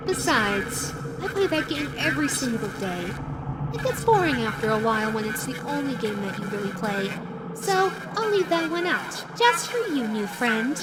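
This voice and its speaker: A woman's voice: on the high side, normal speed, natural voice. A woman voicing a playful, lonely female animatronic fox in a roleplay audio drama, chatty, coy and teasing as she talks to the listener. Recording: loud steady background noise.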